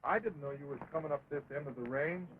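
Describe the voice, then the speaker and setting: An actor playing a carefree, stubborn American cattle rustler in an early talkie western, with a folksy offhand drawl. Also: male voice, on the high side, quick pace, lightly acted. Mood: casual. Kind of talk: early 1929 talkie western film acting. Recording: dull, noisy 1920s film soundtrack.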